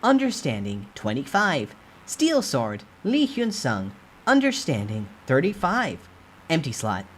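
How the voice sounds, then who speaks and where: A man voicing an impersonal game system that reads out notifications in a crisp, formal way for a storytime reading. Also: on the high side, huge pitch swings, unhurried, slight background noise, pitched above the performer's own voice. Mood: formal announcing.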